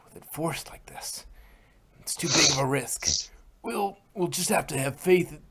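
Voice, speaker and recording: A man's voice: on the high side, unhurried, vocal fry, a bit bright, husky, heavily acted. A man voicing a protective young stallion guard captain in a fanfic reading, earnest and authoritative. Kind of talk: fanfic read-aloud on stream.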